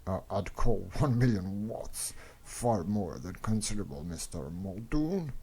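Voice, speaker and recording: A man's voice: lowish voice, lively intonation, a little slow, dark tone, exaggerated voice. A man voicing a pompous, skeptical inventor with an incredulous manner, in a comic-book drama. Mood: scornful disbelief. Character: crusty, scornful elder male inventor, pompous and skeptical. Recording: clearly noisy recording.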